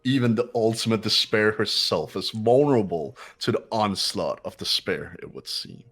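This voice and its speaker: Man voicing a low, haughty young man. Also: normal speed, fairly bright tone. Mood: cool, grave. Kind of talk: fan voice acting of a video game script.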